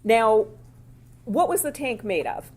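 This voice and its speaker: A woman attorney questioning a witness in court, with a loud voice and a conversational, plainspoken style of quick follow-up questions. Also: on the high side, expressive, husky.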